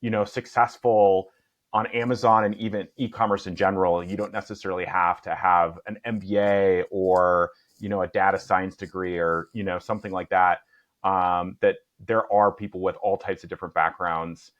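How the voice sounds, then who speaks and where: A man hosting a podcast, asking his guest questions in a hesitant, halting way. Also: deep voice, little pitch movement, highs cut off. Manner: hesitant, many fillers and restarts. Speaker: podcast host. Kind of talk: interview questions.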